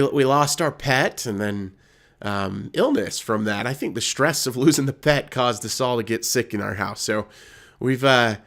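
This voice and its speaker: A man with a slightly hoarse voice from being ill, hosting a live postgame hockey show. He talks in a loose, rambling way, full of fillers and restarts, at an ordinary middle pitch. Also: a bit bright. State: hoarse from illness. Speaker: hockey podcast host. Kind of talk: casual postgame analysis.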